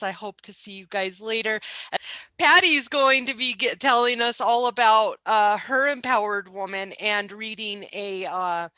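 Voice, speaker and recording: A woman's voice: a little high, bright, forceful tone, clean tone, no room sound, rough recording. A woman hosting her online conference, casual and friendly, laughing easily. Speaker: conference host. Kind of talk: casual hosting on a video call. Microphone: video call.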